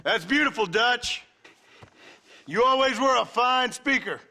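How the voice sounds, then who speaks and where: An actor playing a defiant, laconic gunslinger in a western video game, steady and sardonic. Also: male voice, very high-pitched, unhurried, full character voice. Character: steady, defiant male gunslinger, dryly challenging.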